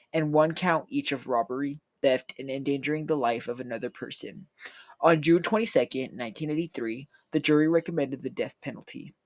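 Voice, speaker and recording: A man reading a true crime script aloud in an even, steady way, then chatting casually. He says he is losing his voice and feels like he is getting sick. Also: a little high, gravelly, dry recording. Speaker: true crime video creator. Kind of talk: read true crime narration with a casual outro.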